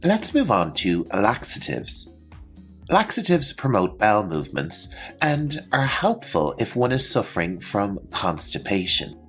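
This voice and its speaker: A man presenting a scripted English vocabulary lesson, explaining terms in a clear, instructional manner. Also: lowish voice, big pitch swings, a little slow, fairly bright tone, faint music behind. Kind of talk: scripted educational narration with example sentences.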